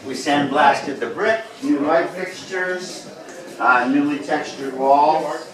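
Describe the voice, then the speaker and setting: A man giving an informal, welcoming walk-through tour in a halting way, as a guide showing visitors around. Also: on the high side, noisy background. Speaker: tour guide showing a radio society museum. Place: walking through museum building during a public event. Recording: echoey rooms with busy crowd chatter. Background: crowd chatter.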